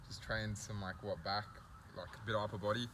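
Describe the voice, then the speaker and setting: A young man hosting a casual chat, relaxed, full of "like" and "you know". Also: lowish voice, a little fast, slight background noise. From Australia. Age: young adult. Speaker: host.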